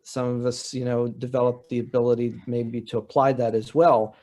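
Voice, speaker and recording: Man explaining at an even mid pitch. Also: moderate pace. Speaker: panelist, college writing teacher. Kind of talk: conversational panel discussion.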